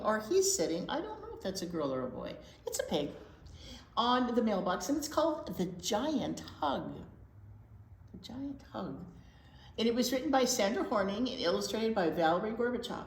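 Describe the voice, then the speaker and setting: Woman, children's storytime reader, expressive, lively pitch, roomy echo, faint background noise. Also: moderate pace. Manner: expressive, asks children simple questions. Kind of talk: animated picture book read-aloud for young children.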